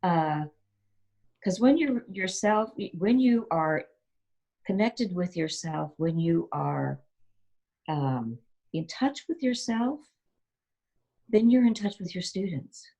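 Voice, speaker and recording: Middle-aged female yoga teacher, lowish voice, encouraging interview guest. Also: animated, takes it slowly, pauses often, dark tone, breathy edge. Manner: earnest, encouraging, repeats key phrases. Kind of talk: interview answers, giving teaching advice.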